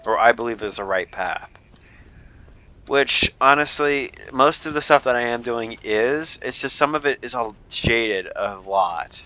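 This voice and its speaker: Young man, let's play commentary, bright tone, loose profane chatter. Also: mid-range voice, unhurried, lo-fi sound. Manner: rambling, profane, laughs often. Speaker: gameplay commentator.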